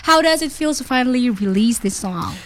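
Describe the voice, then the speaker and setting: A woman from Indonesia hosting a radio interview, bubbly and quick to react with oohs and laughs. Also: fairly high pitch, expressive, a bit bright. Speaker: radio host.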